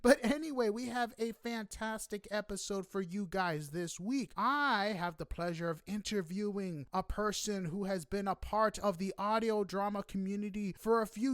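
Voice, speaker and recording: A high-voiced man hosts an interview podcast. He talks casually and effusively, with plenty of exclamation. The sound is dry, with very little room on it. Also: a bit bright, smooth, no room sound.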